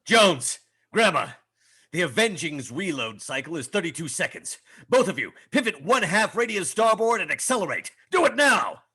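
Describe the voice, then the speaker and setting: Man as gallant starship captain, animated pitch swings, raspy edge, formal and theatrical, sci-fi cartoon script read. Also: moderate pace, full character voice. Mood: urgent, commanding. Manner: formal, theatrical, clipped orders. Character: proud, gallant, old-fashioned male starship captain.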